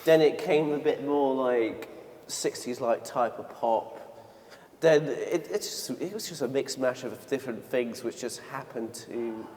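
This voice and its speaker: A man chats casually about writing songs with his band, with loose phrasing and the odd grammar slip. His pitch is average for a man. A long studio reverb trails his words. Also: measured pace, a little mellow. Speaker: band member guest. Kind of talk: casual interview chat.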